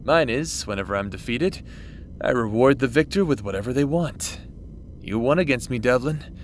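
A man's voice: mid-range voice, expressive, moderate pace, slight background noise, natural voice. A man playing a cocky demon schemer in an audio roleplay drama, casual and teasing with a swaggering manner. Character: cocky, taunting male demon, amused schemer.

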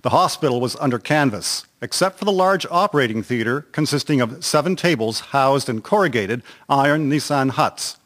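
A man narrating a historical radio drama from a script in a measured, formal reading. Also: medium pitch, lively intonation, fairly bright tone.

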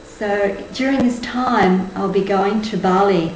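A woman in her sixties giving a measured, earnest spiritual talk to camera. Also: medium pitch. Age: 60s. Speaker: spiritual group tour leader and presenter. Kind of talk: spoken presentation to camera. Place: indoors, small room with cloth backdrop. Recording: roomy echo, faint background noise.

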